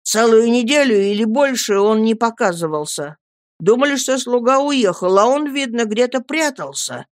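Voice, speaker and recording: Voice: mid-range voice, animated, moderate pace, no room sound, clean studio sound, pitched above the performer's own voice, natural voice. A narrator voicing a distraught young fisherman in an audiobook, pleading in a strained, broken voice. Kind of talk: audiobook character acting.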